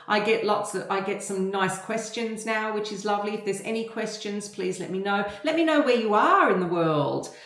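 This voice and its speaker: A woman's voice: medium pitch, moderate pace, few pauses, a bit bright, reverberant. A woman with an Australian accent, talking casually to her viewers about her vegetable garden, rambling and chatty with many ums. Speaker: gardening vlogger. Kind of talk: casual talk to camera. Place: home kitchen garden.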